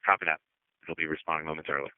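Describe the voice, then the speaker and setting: A man's voice: extremely low pitch, fairly even intonation, very fast, clean tone, dry recording. A man working as an emergency responder, giving terse messages over a two-way radio. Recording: tinny narrowband radio transmission.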